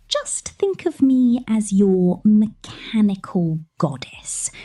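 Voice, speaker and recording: A woman playing a possessive, condescending mechanic captor in an ASMR roleplay, speaking softly and half-whispered with playful menace. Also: mid-range voice. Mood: smug, teasing. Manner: soft, half-whispered, slow, teasing.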